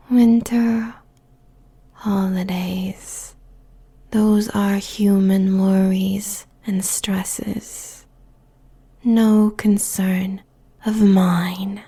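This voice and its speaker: A woman playing a seductive, possessive demon queen succubus in an intimate roleplay monologue, whispering breathily with deliberate, sensual pauses. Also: mid-range voice, dark tone, breathy edge, heavily acted. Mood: disdainful, possessive.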